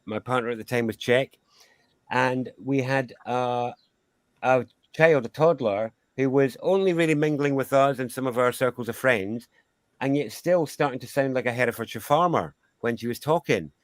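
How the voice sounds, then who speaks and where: A Scottish man talks in a casual, wandering way, circling back on himself and adding asides as he thinks aloud. His voice sits at an ordinary middle pitch and is recorded dry and close, with no room sound around it. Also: normal speed, dry recording. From Scotland. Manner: musing, rambling with restarts and asides. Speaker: guest sound healer. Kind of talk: casual conversation.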